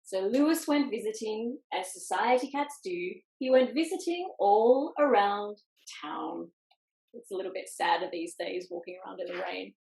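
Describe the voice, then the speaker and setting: A woman reading a picture book aloud to children over a video call, expressively, with dramatic pauses and little asides. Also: mid-range voice, lively intonation, vocal fry, a little mellow. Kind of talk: animated children's storytime reading with quiet asides. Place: indoors, online video call in an echoey room. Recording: roomy echo on video call.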